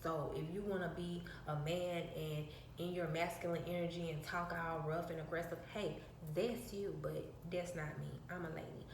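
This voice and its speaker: A woman with a soft, reserved, slightly low voice tells a long personal story straight to her phone. She rambles and repeats herself as she goes. The room rings with echo, and noise sits behind her. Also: fairly even intonation, moderate pace, few pauses. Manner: rambling, repeats phrases, slips into quoted dialogue. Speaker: vlogger. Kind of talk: casual storytelling to camera.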